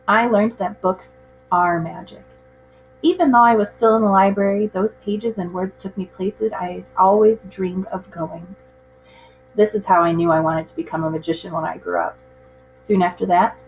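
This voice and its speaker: Woman, middle-pitched, expressive storybook read-aloud, measured phrasing, teacher reading to children, music in the background. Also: low-quality recording.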